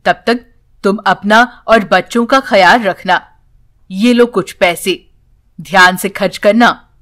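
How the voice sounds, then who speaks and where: A woman's voice: medium pitch, takes it slowly, fairly bright tone. A woman voicing a caring, practical village husband in a storybook tale, earnest and instructing. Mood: caring, serious.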